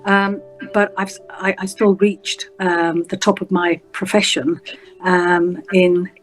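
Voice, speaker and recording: A woman in her fifties with a Yorkshire accent, recounting her working life as a guest on an interview show. She speaks in a measured, reflective way and pauses often on "um". Soft music plays faintly behind her. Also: lowish voice, flat delivery, poor audio. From England. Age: 50s. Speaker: guest, senior prosecutor. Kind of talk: interview storytelling.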